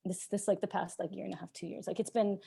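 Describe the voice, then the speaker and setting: A young woman whose first language is Czech, chatting as a podcast guest in a rambling, animated way with frequent laughter. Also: medium pitch, quick pace, creaky, breathy edge. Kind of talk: casual interview chat.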